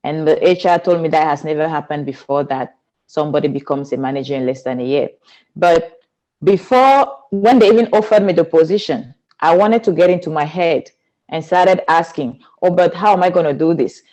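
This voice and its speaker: A woman's voice: sits low, moderate pace, mellow, poor audio. Cameroonian-accented woman, earnest and flowing. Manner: earnest, flowing, non-native phrasing. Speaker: guest, spiritual teacher. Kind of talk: podcast interview.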